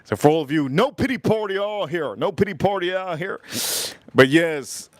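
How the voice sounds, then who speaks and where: A man hosting a casual livestream from Florida, animated as he shouts out viewers, and a little sick. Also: sits high, moderate pace, no room sound. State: getting sick. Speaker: livestream host.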